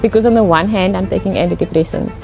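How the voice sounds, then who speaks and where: A woman's voice: medium pitch, fast, creaky, muffled recording, soft background music. A woman in her thirties giving a candid, wry, somewhat rambling testimonial. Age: 30s. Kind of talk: testimonial interview.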